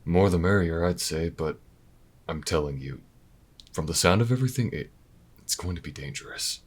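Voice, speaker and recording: An actor playing a weary, wry, protective investigator in an intimate audio drama, low-key and dry, with hesitant pauses. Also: male voice, lively intonation. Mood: wry concern. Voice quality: very deep, gravelly, husky. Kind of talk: audio roleplay acting, intimate and naturalistic.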